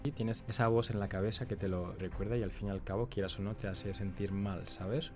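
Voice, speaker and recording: A man's voice: fairly low pitch, steady tone, brisk, faint music behind. A young man from the Canary Islands, a guest on a podcast, telling his life story in a reflective, hesitant way. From Canary Islands, Spain. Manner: hesitant, reflective, restarts phrases. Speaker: guest, actor and writer. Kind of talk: conversational storytelling.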